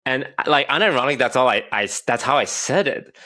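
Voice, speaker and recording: A man's voice: mid-range voice, expressive, a little fast, pushed and bright, dry recording. A man in his thirties from Malaysia, co-hosting a casual podcast chat and telling stories with a wry edge. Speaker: podcast co-host.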